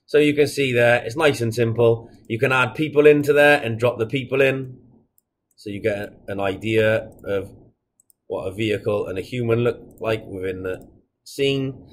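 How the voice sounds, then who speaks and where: A man in his thirties giving a casual software tutorial, explaining each step in a plain, informal way as a product demonstrator. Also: mid-range voice, normal speed, a little hiss or hum. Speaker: product demonstrator for a security equipment supplier.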